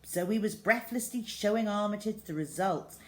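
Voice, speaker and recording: A woman reading a children's story aloud as an expressive storyteller. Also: mid-range voice, normal speed.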